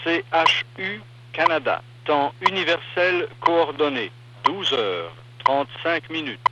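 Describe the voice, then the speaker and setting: A man giving a formal, measured recorded time announcement as a radio announcer, heard over a shortwave transmission. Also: fairly high pitch, sharp tone, muffled recording. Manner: measured, formal, clipped phrases. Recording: noisy shortwave radio reception, clicks.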